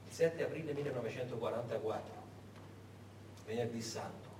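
A man delivering a theatrical narration of wartime history, emphatic and dramatic, with deliberate pauses. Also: mid-range voice. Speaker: stage actor and narrator. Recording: roomy, distant-miked stage recording with noise.

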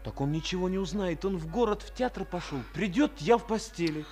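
An actor playing a roguish young soldier patient who begs theatrically in a 1950s Soviet radio play. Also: male voice, high-pitched, animated, brisk, a little mellow, faint music behind. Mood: wheedling. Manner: theatrical begging with oaths.

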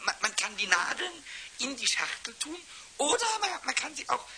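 A man playing a cheeky, childlike little kobold in a children's radio play, with a squawky voice and excitable, repetitive stammering chatter. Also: moderate pace, exaggerated voice. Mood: gleeful, scheming. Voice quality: high, squawky cartoonish voice. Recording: hissy, clicky old radio play recording.

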